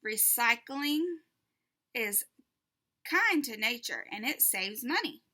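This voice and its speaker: Female storybook reader: high, bright voice, deliberate and expressive for small children. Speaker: teacher reading a children's book aloud.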